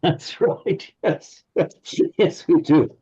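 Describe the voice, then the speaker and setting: A man's voice: on the high side, wildly swooping pitch, very slow, dark tone, husky. A man teaching a Pali class online, explaining patiently and often stumbling over repeated words. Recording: slightly muffled audio.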